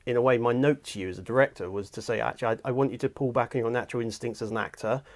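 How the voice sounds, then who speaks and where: A man's voice: mid-range voice, racing. A British man chatting casually as host and director, in a jokey, talkative manner. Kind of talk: casual chat.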